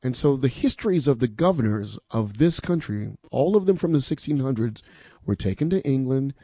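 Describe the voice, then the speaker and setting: A man on a radio pledge drive makes an emphatic, persuasive appeal to listeners. His voice is dark and mellow, recorded close and dry in a studio. He asks rhetorical questions and repeats the call-in number again and again. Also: medium pitch, expressive, moderate pace, dry recording. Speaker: radio fund drive host.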